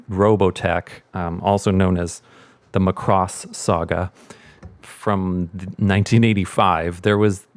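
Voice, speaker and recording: A middle-aged man with a lowish voice leads a casual audio tutorial. He speaks informally, thinking aloud through each step, in a very dry recording. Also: no room sound. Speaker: sound mixer giving a tutorial.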